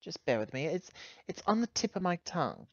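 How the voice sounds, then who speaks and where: Halting male roleplayer, dry close sound. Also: fairly high pitch, very animated, no room sound, muffled recording. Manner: halting, self-correcting, thinking aloud. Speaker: tabletop roleplaying player. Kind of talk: casual game play, describing actions.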